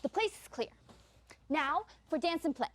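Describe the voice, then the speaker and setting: A woman's voice: sits high, lively intonation, normal speed. A woman acting a bossy, jolly troupe comedian with hammy, mock-grand delivery in a stage play. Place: stage performance.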